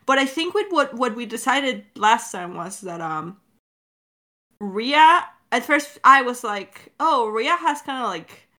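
A woman's voice: on the high side, expressive, slow, pushed and bright. A woman co-hosting a creator Q&A, chatting casually in a giggly way and swearing freely. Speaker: podcast creator and co-host.

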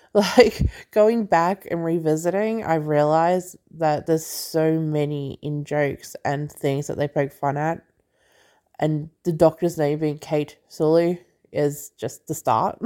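A woman hosting a movie review podcast in a relaxed, chatty way, laughing often and swearing casually, with a congested, stuffy voice. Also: fairly low pitch.

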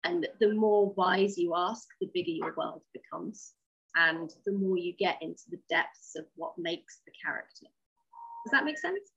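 A woman's voice: on the low side, animated, normal speed, fairly bright tone, breathy edge, highs cut off. A woman interviewed as a writer, explaining her method conversationally, step by step, with rhetorical questions. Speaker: interview guest, audio drama writer.

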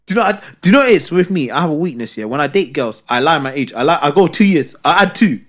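A young man, co-hosting a podcast. He jumps up and down in pitch with lively swings. He talks loud and laughing, full of repeated fillers. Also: quick pace. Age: 20s. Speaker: podcast co-host. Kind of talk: casual chat.

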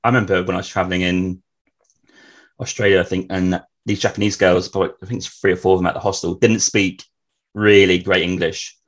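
A man hosting a podcast, chatting casually and asking relaxed follow-up questions. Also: deep voice, a little fast. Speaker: podcast host. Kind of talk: casual interview chat.

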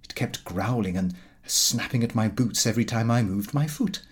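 A man reading a comic first-person diary aloud in an expressive, fussy storytelling manner. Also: lowish voice, moderate pace, husky. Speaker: audiobook narrator.

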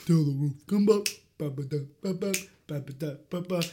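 High-pitched male podcast guest, jokey, rambling banter. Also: normal speed, noisy background. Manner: joking, rambling, prone to awkward jokes. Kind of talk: casual comedic podcast banter.

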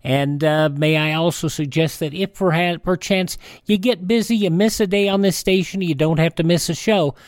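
A man's voice: on the high side, normal speed, few pauses, no echo, studio quality. A man hosting a radio show of vintage programs, talking conversationally to listeners.

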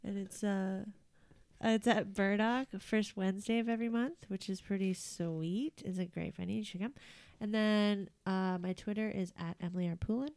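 A woman's voice: mid-range voice, fairly even intonation, moderate pace, darkish tone, no echo. A woman guesting on a comedy podcast, chatting casually, giggly and hesitant with many fillers.

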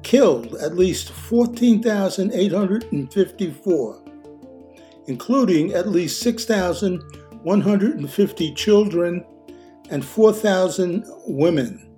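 Older American male podcast host, soft mellow tone, scripted intro over guitar music. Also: sits high, expressive, takes it slowly.

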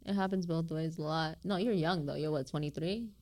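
A woman's voice: low voice, a little fast, darkish tone. A woman speaking as a guest author in a casual conversation, thoughtful and informal, with some slang. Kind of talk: casual reflective interview talk.

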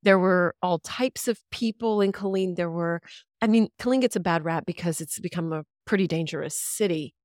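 Texas-raised woman in her 50s, kinda low voice, chatty and thoughtful, dry sound. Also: moderate pace, darkish tone, no echo. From Central Texas, USA. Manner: reflective, chatty, with easy laughs. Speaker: podcast guest, radio veteran. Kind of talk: casual interview storytelling.

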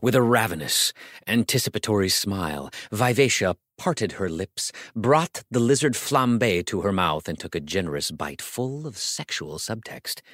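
A man's voice: lowish voice, animated, measured pace, a bit bright, dry recording, clean studio sound. A man narrating a comic story as a scripted audiobook, theatrical and wry, savoring his phrases.

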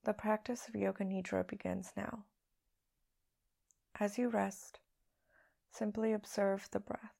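A woman leading a guided meditation as a podcast host, speaking in a hushed, soothing, unhurried way with long pauses. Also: medium pitch, little pitch movement, gravelly.

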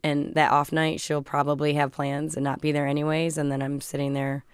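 A woman in her forties with a low, smooth voice talks frankly and swears freely. Her speech comes across crisp and dry, with no room sound around it. She speaks with a blunt, unguarded candor. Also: monotone, a little fast, no room sound. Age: 40s. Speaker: podcast guest. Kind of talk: candid casual conversation.

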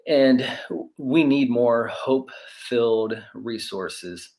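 A man's voice: medium pitch, drawn-out words, darkish tone, rough recording. A man in his forties hosting a faith interview, speaking emphatically with fillers and restarts. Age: 40s. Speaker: host. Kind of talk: conversational interview.

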